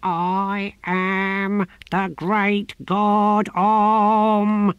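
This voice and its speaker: A man's voice: sits high, completely flat delivery, extremely slow, clear, resonant tone, no room sound, lo-fi sound, pitched above the performer's own voice. A man voicing an imperious old tortoise who claims to be a god and barks commands and curses. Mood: imperious, furious.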